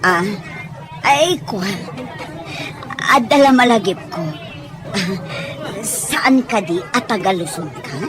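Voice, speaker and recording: A woman's voice: mid-range voice, animated, slow, long pauses, crowd noise, background noise. A woman with an Ilocano accent playing an inquisitive, hesitant village mother in an audio drama. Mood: surprised. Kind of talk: radio drama acting.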